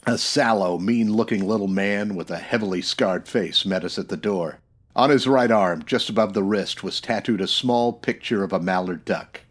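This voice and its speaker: A man's voice: lowish voice, normal speed, natural voice. A man playing a tough, sardonic 1940s private eye in a slangy radio detective parody. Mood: dry narration. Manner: sardonic, slangy hard-boiled delivery. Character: wisecracking tough 1940s male private eye, narrating his case. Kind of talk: old-time radio detective parody, narration and dialogue.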